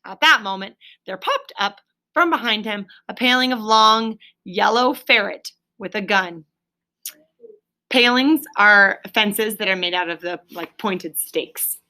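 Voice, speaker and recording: A woman's voice: mid-range voice, measured pace, pushed and bright. A woman reading a children's story aloud expressively, as a storyteller who explains things to a listener. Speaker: reader, storyteller.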